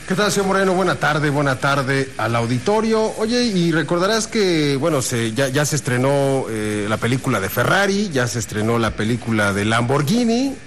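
A man's voice: medium pitch, lively intonation, moderate pace, hardly any pauses, fairly bright tone, a little hiss or hum. A man talking conversationally as a contributor on a radio show. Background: music bed.

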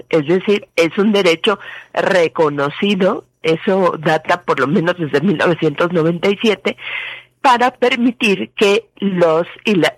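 A woman with a Mexican accent, speaking as a legal expert guest and explaining things methodically. She pauses often and fills the gaps with short fillers. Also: mid-range voice, dry recording, rough recording. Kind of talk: explanatory commentary.

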